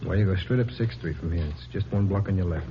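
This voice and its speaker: A man's voice: low-pitched, fast talker, close to an everyday voice. A man playing a sleazy, pushy peddler. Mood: salesy. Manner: wheedling, pushy salesman. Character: sleazy male peddler of dirty books, pushy. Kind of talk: 1950s radio drama acting. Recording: dull old radio recording with hiss.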